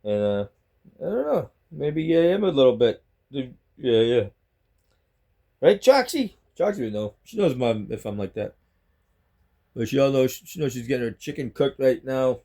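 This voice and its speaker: A man's voice: mid-range voice, sing-song, normal speed, long pauses, mellow. Rambling middle-aged man with a Boston accent. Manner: chatty, rambling, repeats himself. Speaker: vlogger. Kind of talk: rambling casual talk to camera. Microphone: selfie stick phone.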